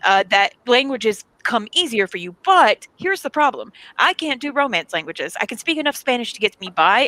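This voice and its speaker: Woman, bright voice, chatty, explains at length, laughs often, dry close sound. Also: medium pitch, dry recording, poor audio. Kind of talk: casual chat.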